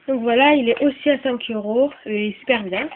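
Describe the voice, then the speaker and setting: A woman with a cold, chatting casually and rambling as she goes through items she is selling, with many pauses and apologies. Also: on the high side. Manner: rambling, full of "euh" and "voilà", apologetic. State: has a cold, coughing. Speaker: channel host selling her used items. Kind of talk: casual chatty sales video. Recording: tinny lo-fi phone-like recording with faint noise.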